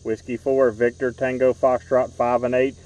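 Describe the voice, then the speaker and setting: A man's voice: medium pitch, little pitch movement, darkish tone, far from the mic, low-quality recording. Man reading call signs outdoors. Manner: brisk, repetitive call-sign reading. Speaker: ham radio park activator. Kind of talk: rapid radio contact exchange. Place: outdoors in a park. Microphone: handheld radio microphone.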